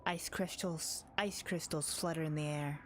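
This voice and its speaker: A woman's voice: deep voice, steady tone, moderate pace, fairly bright tone, a little hiss or hum. A woman reading dramatic first-person narration from a visual novel as a voice-over. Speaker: voice-over reader.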